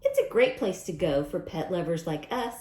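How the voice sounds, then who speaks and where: A woman's voice: on the low side, lively intonation, normal speed, dark tone. A woman reading aloud at storytime for young children in a sing-song manner, often asking questions and repeating lines. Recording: roomy, echoing sound.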